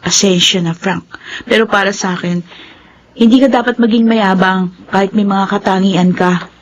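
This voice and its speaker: A woman's voice: mid-range voice, fairly even intonation, normal speed, muffled recording, close to an everyday voice. A Filipino woman playing a principled, dutiful young elder daughter in a radio drama. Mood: firm.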